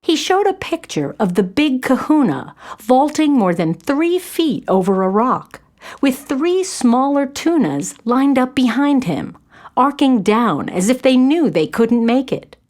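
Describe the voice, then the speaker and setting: A woman's voice: high voice, big pitch swings, a little slow, a little mellow. A woman narrating a children's audio play in a tender, descriptive storybook manner. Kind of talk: scripted narration.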